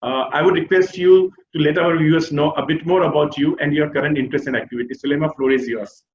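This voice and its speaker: A man's voice: a little high, fairly even intonation, fast, slight background noise. A man hosting a web interview, earnestly reading a long formal introduction of his guest, with small restarts. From India. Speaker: host. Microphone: video call. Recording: roomy, dull web call audio.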